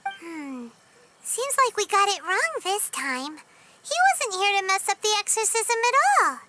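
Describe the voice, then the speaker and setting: A woman's voice: very high-pitched, big pitch swings, a little slow, heavily acted. A woman voicing a small, chirpy, curious fairy companion in a video game, chatty and childlike. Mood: doubtful. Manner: refers to self in third person, chatty. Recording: loud game music behind.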